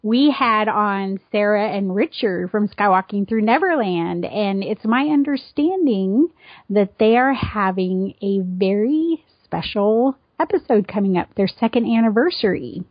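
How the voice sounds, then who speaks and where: A woman's voice: mid-range voice, animated, a little slow, no room sound, dull audio. Dry-sounding woman chatting casually. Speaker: podcaster and guest. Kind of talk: casual spoken message and chat.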